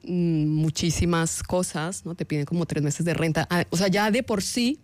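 Colombian woman, low voice, dry sound. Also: normal speed, a little mellow, dry recording. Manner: reflective, argumentative, rhetorical questions with tag "¿no?". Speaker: guest, actress. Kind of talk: radio-style interview conversation.

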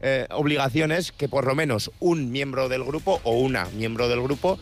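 A man with a Spanish accent from Spain reporting live in a casual, halting way, often repeating himself. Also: mid-range voice, soft background music. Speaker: radio reporter on location. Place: outdoors at a festival site before it starts.

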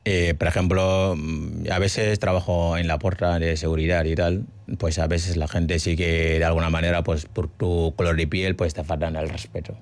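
A man speaking as a guest in a radio interview, slow and hesitant. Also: low-pitched, little pitch movement, no echo.

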